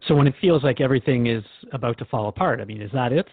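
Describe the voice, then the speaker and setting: A man's voice: mid-range voice, rapid-fire, husky, no echo. Inquisitive male radio host, dry phone-like sound. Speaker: radio show host interviewer. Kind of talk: conversational interview in a radio drama.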